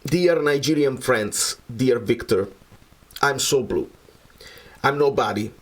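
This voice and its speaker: A man from Naples with a Neapolitan Italian accent and Italian as his first language, reading an earnest, measured personal statement. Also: medium pitch, poor audio. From Naples, Italy. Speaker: musician.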